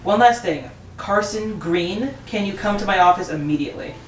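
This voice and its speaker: A woman's voice: mid-range voice, animated, moderate pace, close to an everyday voice. A woman playing a friendly, chatty factory boss in an amateur table read, talking in an upbeat, rambling way. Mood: upbeat, announcing. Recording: roomy, distant mic, faint noise.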